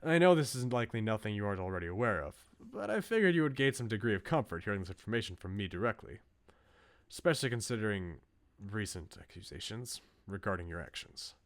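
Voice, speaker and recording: A man voicing an earnest, bookish researcher from a visual novel in a measured, formal, serious way. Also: fairly low pitch, very animated. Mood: hushed, serious.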